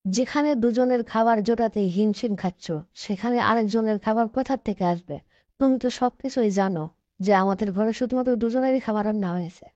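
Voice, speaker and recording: A woman's voice: on the high side, fairly quick, darkish tone, no echo, lightly acted. A woman voicing a poor farmer's practical, supportive wife in a cartoon story, speaking in a matter-of-fact way. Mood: worried, objecting. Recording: dull, dry lo-fi track.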